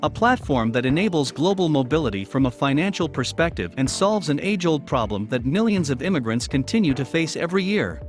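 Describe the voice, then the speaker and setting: A man's voice: fairly high pitch, sing-song, fairly quick, few pauses, soft background music. A man reading a scripted corporate video narration.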